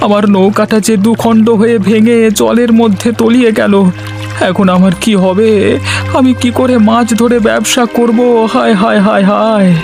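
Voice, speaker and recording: A man's voice: high-pitched, fairly even intonation, normal speed, vocal fry, darkish tone. A man voicing a poor village fisherman in a cartoon, lamenting and exclaiming in an aggrieved way. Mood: alarmed, wailing. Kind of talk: cartoon story voice acting. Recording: music behind the voice.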